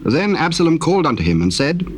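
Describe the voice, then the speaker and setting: A man with a British accent narrating scripture in a formal, measured, solemn storytelling style. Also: a little high, very animated. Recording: noisy old cassette tape with ambience.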